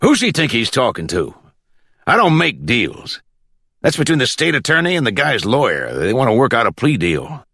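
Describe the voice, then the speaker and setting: Audiobook judge, male narrator acting: brusque and cocky, short on patience, folksy bluster, very animated delivery, close dry sound. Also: medium pitch, normal speed, husky, dry recording, pitched above the performer's own voice, exaggerated voice. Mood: dismissive. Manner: curt, folksy, impatient.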